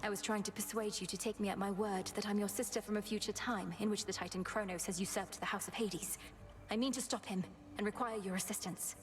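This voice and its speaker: An actress playing a determined young princess and sister in a video game, earnest, formal and urgent. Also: female voice, medium pitch, steady tone, quick pace, lightly acted. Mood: earnest resolve. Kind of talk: video game dialogue acting.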